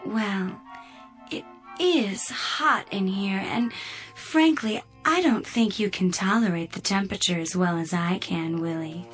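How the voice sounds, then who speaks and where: An actress playing a polite, solicitous spaceship computer in a sci-fi radio drama, measured and literal-minded with a needling edge. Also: female voice, medium pitch, soft background music, natural voice. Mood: polite concern.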